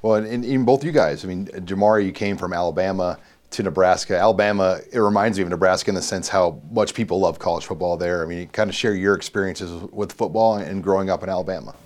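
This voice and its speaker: A man with a deep, low voice hosts a sports interview. He asks friendly questions that wander and loop back on themselves. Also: fast, darkish tone. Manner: friendly, rambling questions with fillers. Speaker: sports reporter and host.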